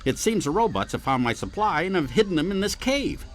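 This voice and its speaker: Cranky old superhero, wildly swooping. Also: male voice, on the high side, big pitch swings, a little fast, soft background music. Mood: cranky, persuasive. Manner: cranky, sheepish, dismissive. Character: grumpy, aging male cartoon superhero sidekick.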